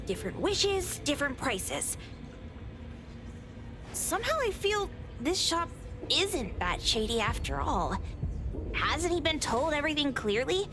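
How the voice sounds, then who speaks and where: A woman's voice: sits high, big pitch swings, unhurried, long pauses, over music, heavily acted. A voice actress playing a feisty, hot-headed young girl in a video game, brash, blunt and exclamatory. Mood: skeptical. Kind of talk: video game character acting.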